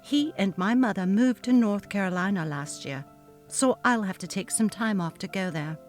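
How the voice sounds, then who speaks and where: A woman's voice: medium pitch, normal speed, soft background music, lightly acted. A woman voicing a haughty, narcissistic female office manager who talks down to her staff, in a dramatically read story. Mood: condescending. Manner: haughty, cutting, demanding. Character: snobby, imperious, narcissistic female office manager, cold and bullying. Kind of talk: dramatic reading of a text-message story.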